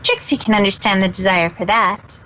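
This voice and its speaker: A woman's voice: mid-range voice, steady tone, normal speed, fairly bright tone, a little hiss or hum, lightly acted. A woman voicing a proud, flustered female pony magician in a fan fiction audio reading. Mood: awkward, curious. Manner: boastful third-person self-reference, nervous. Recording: dull lo-fi recording.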